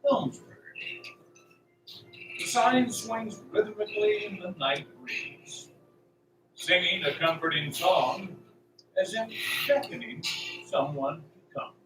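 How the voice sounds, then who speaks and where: A man narrating a radio play as a scripted story, in a theatrical, deliberate, suspenseful way. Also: high voice, lively intonation, background noise. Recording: roomy, distant, music behind. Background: music.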